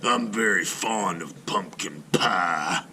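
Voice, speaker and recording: A man voicing a grumpy, stingy middle-aged neighbor who grumbles gruffly. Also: low voice, slow pace, bright, forceful tone, a little hiss or hum, full character voice.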